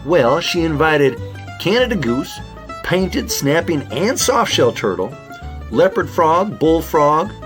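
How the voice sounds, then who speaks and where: Raccoon detective , male actor, earnest boss type, highish and highly animated; kids' mystery radio play. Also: slow pace, music in the background. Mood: businesslike. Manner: polite, businesslike, flustered when surprised. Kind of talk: children's audio drama.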